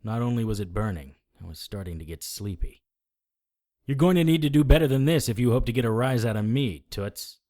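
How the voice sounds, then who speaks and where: An actor playing a cocky, sarcastic hardboiled supernatural detective, narrating and trading defiant lines in a noir audio drama. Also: male voice, medium pitch, lively intonation, fairly quick, dark tone, lightly acted. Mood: cocky taunting.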